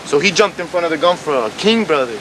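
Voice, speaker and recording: A man's voice: fairly high pitch, expressive, fast, noisy background. A man telling street stories in an emphatic, profane, slangy way, repeating himself for emphasis in a documentary field recording. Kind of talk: animated street storytelling.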